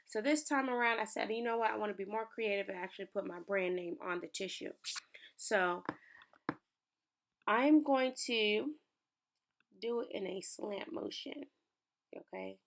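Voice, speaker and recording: A young woman talks viewers through a design tutorial on her screen. Her voice sits at a middle pitch and is raspy and gravelly from the early morning. She is chatty and upbeat and speaks to the viewers directly. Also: a little slow, long pauses. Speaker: small business owner and lifestyle creator. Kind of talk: screen-share tutorial walkthrough.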